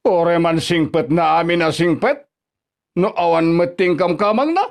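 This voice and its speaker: A man's voice: fairly high pitch, a little slow, gravelly. A man playing a grumpy, scornful old father in a radio drama, scolding and sarcastic, with an Ilocano accent. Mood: indignant, bitter. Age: older. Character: grumpy, money-minded old father scorning his daughter-in-law.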